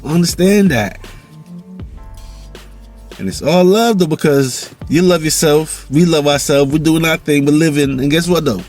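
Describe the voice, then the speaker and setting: A man's voice: on the high side, measured pace, a little mellow, soft background music. A man from Jamaica with a Jamaican accent, talking loosely in a casual vlog monologue and often tossing in "you know". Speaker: vlog host.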